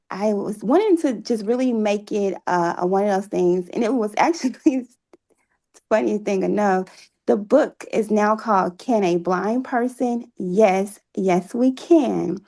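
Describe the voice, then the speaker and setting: A woman chats as a guest in an interview. Her voice sits at a middle pitch with noticeable creak. She talks effusively and laughs easily. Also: dark tone. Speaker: interview guest, author and playwright. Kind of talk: casual interview conversation.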